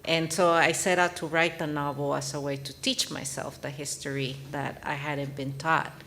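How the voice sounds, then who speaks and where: Mexican-born woman, spanish her first language, low voice, earnest, keeps restarting her sentences on a panel. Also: level tone, normal speed, fairly bright tone, slight background noise. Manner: earnest, reflective, frequent restarts. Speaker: panel guest author. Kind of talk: panel discussion. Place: live event panel.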